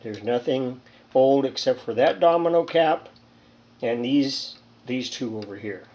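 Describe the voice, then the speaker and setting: Male hobbyist repairer, mid-range voice. Casual hands-on demo delivery, halting and thinking out loud. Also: medium pitch, animated, dull audio. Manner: rambling, halting, thinking aloud. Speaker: hobbyist radio repairer, presenter. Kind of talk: casual hands-on demonstration talk.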